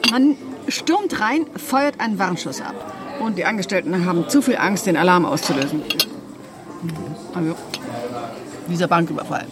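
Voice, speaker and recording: A woman's voice: lowish voice, lightly acted. A woman playing a shrewd, educated ex-bank robber turned consultant in a radio drama, explaining things in a matter-of-fact, instructive way. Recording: loud music bed underneath.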